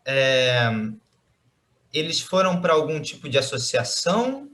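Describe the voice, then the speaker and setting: The interviewer speaks slowly and evenly, asking patient questions and answering with short affirmations. The pitch stays in a middle range, neither high nor low. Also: very animated, fairly bright tone. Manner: slow, with brief affirmations.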